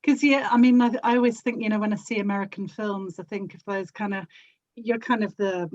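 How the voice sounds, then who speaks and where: A woman's voice: mid-range voice, fairly even intonation, fairly quick, highs cut off. A woman with a British accent chatting casually as a guest on a podcast. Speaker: podcast guest, audio drama actor and producer. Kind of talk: casual conversational chat.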